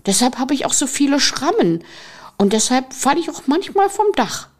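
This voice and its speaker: A woman's voice: high-pitched, sing-song, normal speed, voice pushed up. A woman voicing a cheerful, fearless little boy who talks in an eager, chatty way.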